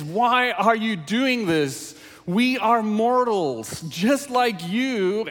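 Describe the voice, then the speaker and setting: A South African pastor, native Afrikaans speaker, preaching with a fairly high voice. He engages his listeners with lively questions, and his voice rings with the long reverb of a large hall. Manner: animated, engaging the audience with questions. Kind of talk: sermon with scripture reading.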